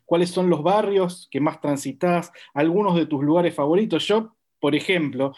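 Articulate higher-voiced interviewer man. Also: normal speed, darkish tone. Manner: articulate, long framing questions. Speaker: podcast host interviewer. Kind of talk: interview questions and scripted intro.

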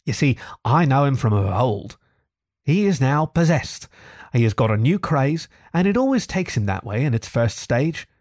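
Man doing a stern, exasperated water rat, pitched up. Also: big pitch swings, normal speed, dry recording, clean studio sound. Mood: firm, grim. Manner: stern, firm, sharp. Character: brisk, exasperated, no-nonsense male water rat. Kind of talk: storybook character reading.

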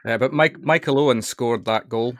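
A man's voice: mid-range voice, moderate pace, fairly bright tone, no room sound, clean studio sound. A man with a Scottish accent chatting casually as an interviewer. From Scotland.

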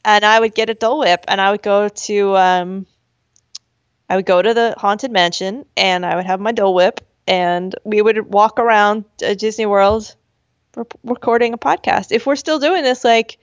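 A woman with a high voice chats and laughs as a podcast co-host, slipping into squeaky voices when she quotes herself. The recording is dull and slightly lo-fi. It sounds dry, with no room echo. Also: normal speed. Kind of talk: casual chat. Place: at home with a dog.

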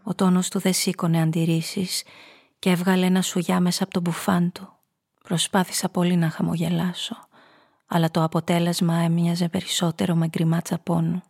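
An actress playing a hard-boiled femme fatale who narrates her downfall in a radio drama, in a measured, confessional way. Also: lowish voice, level tone, vocal fry, darkish tone, breathy edge. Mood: fearful.

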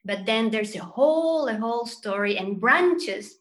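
A woman from Chile, a guest journalist, chatting casually with fillers and lively turns. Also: fairly high pitch, unhurried. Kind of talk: casual chat.

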